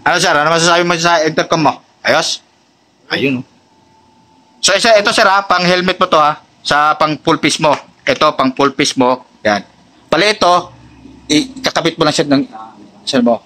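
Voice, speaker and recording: Chatty high-pitched Filipino man, vlog style. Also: moderate pace, sharp tone. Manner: chatty, repeatedly addresses customer respectfully as sir. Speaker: motorcycle accessories seller and vlogger. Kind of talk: casual sales chat and product showcase to a customer and viewers. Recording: noisy location with vehicle sounds.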